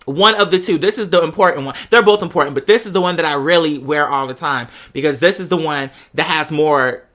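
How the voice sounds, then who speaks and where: Bright-voiced, sassy American man telling stories. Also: fairly high pitch, brisk. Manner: sassy, animated slang, dramatic emphasis. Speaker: podcast host telling personal stories. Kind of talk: casual chat, gossipy storytelling.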